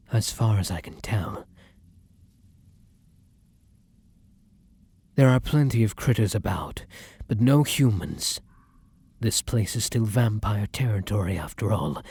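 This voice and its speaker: A weary vampire lover played by a man in an intimate ASMR romance roleplay. His voice is lowish, very husky and breathy, often sinking to a whisper, with gentle, courtly endearments. The sound is close and dry. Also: dry recording, heavily acted. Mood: wary, soothing. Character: tender, weary male vampire lover on the run.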